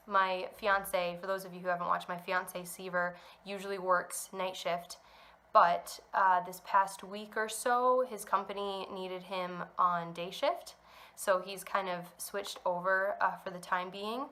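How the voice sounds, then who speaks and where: A young woman with a bright, clear-toned voice talks casually to her audience, wandering from thought to thought with frequent ums. She sounds slightly stuffed up, still getting over a cold. She is at home. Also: lowish voice. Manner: rambling, chatty, many ums. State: congested from a cold. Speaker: knitting podcast host. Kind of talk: casual chat to camera. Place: at home indoors.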